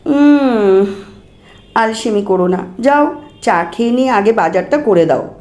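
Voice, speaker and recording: A demanding, lazy wife, voiced by a woman storyteller, in a domestic audio story. She is bossy and irritable, speaking languidly and peevishly in a slightly high voice. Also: lively intonation, measured pace, darkish tone, echoey room. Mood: sleepy irritation.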